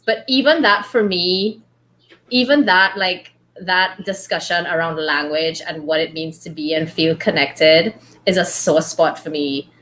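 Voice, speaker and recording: A woman from Trinidad with a light Trinidadian accent, hosting a casual book club chat and telling lively stories with laughs and acted-out quotes. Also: on the low side, unhurried, slight background noise, low-quality recording. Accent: light Trinidadian, trained toward neutral. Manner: animated storyteller, laughs often, acts out quotes.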